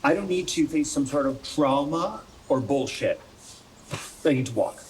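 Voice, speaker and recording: An American man acting in a radio play as a troubled, injured young soccer player, halting and confessional, with a bitter, sarcastic, profane edge. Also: a little high, lively intonation, slight background noise, close to an everyday voice, poor audio. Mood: angry, defensive.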